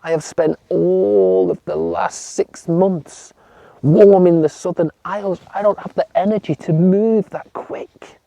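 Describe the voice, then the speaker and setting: A man's voice: sits high, steady tone, unhurried, vocal fry, dark tone, no room sound, pitched above the performer's own voice, poor audio. A man doing a weary sun goddess. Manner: tired, pleading.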